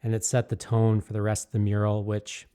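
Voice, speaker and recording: A man's voice: fairly low pitch, completely flat delivery, fairly quick, warm tone, clear, resonant tone. A man narrating his own work in an easygoing, rambling way, candid and enthusiastic, with many fillers and restarts. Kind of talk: casual reflective voice-over.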